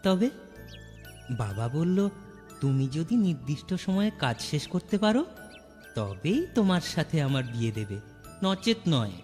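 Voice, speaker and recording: A man's voice: a little high, sing-song, a little slow, long pauses, a little mellow. Earnest young suitor, played by a man, reverberant. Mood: concerned. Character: earnest young merchant's son, a shy suitor. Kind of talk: cartoon fairy-tale acting. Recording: reverberant hall sound, music underneath.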